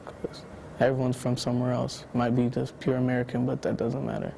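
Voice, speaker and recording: A teenage boy answers documentary questions in an extremely flat, mellow voice. He is laid-back and halting, and says "like" a lot. Faint background hiss sits under him. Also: medium pitch, vocal fry. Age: teens. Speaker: student interviewee.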